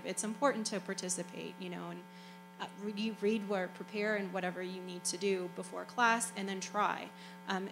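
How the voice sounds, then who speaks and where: A woman's voice: medium pitch, poor audio. A young woman on a graduate student panel, speaking conversationally with frequent "you know" and "right". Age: young adult. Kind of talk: panel talk.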